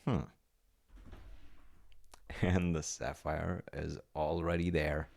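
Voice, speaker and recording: A man playing a polite, eager wizard fiance in an ASMR audio roleplay, with theatrical delivery and soft muttered asides. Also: rumbling low, flat delivery, takes it slowly, gravelly, heavily acted. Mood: muttering to himself. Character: eager, secretly magical wizard fiance running an enchantment business.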